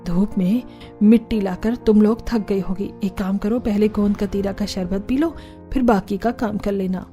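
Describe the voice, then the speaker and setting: A woman's voice: medium pitch, fairly even intonation, normal speed, mellow, faint music behind, natural voice. Motherly older mother-in-law, a woman acting her. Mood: caring. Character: caring older mother-in-law, mildly questioning. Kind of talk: Hindi cartoon story dialogue.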